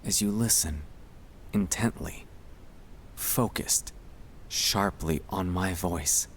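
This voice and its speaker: A man narrating a sleep-aid ASMR monologue in a gentle, hushed, soothing and reassuring manner. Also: low voice, a little hiss or hum. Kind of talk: ASMR guided relaxation, scripted comfort monologue.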